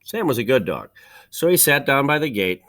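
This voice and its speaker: A man reading a children's storybook aloud like a teacher, with deliberate phrasing, dramatic pauses and emphasis. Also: mid-range voice, sing-song, fairly bright tone. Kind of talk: expressive children's storybook narration.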